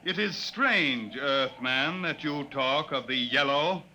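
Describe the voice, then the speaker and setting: Piercingly bright, solemn alien tribesman. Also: mid-range voice, expressive, takes it slowly, full character voice. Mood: grave, wondering. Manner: formal, solemn, ceremonious phrasing. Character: proud, grave alien mountain tribesman, a potter. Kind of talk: 1950s radio drama acting. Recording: noisy vintage radio recording.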